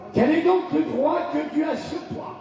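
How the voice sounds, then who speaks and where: Male pastor from France, high mellow voice, fiery preaching, distant echoey lo-fi hall recording. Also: moderate pace, creaky. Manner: preaching with shouted bursts and call-and-response. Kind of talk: fiery revival sermon.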